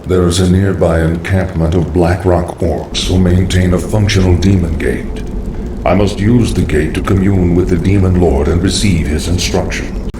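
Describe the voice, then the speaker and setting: A man voicing a cold, scholarly undead lich in a game cutscene, speaking in a measured, formal and ominous way. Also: low-pitched, steady tone, roomy sound, distant mic, noisy background, close to an everyday voice. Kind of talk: video game cutscene acting.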